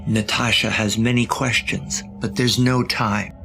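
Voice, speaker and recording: Gravelly male narrator, deliberate and suspenseful. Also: lowish voice, level tone, fairly bright tone, faint music behind. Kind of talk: scripted audio drama narration.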